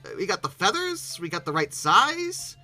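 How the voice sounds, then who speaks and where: A YouTube host giving casual, humorous reaction commentary in a halting, bemused way, often repeating words. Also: mid-range voice, sing-song, bright, forceful tone, faint music behind.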